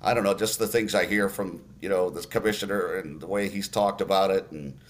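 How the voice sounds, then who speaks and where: An older man, a radio announcer, telling stories in a relaxed interview with lots of fillers and restarts. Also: deep voice, brisk. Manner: storytelling, many fillers and restarts.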